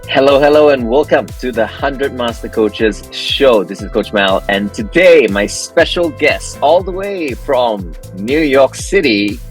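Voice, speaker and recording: A man hosting an interview show over a video call, agreeing often and laughing along. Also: mid-range voice. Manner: warm agreeing backchannels, laughs. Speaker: podcast host.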